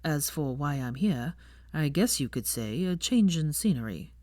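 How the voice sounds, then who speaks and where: Woman doing a smug, calm young wizard, lowish pitch. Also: unhurried, no room sound. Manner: calm, drawling, sardonic. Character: smug, amused, aristocratic young wizard man.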